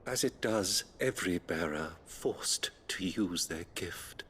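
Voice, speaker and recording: A kindly abbey priest, solemn and gentle, speaking in an unhurried, measured way. His voice is of middling pitch and carries a faint hum of ambience behind it, as in a game cutscene. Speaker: priest tending the dying. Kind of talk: video game cutscene acting.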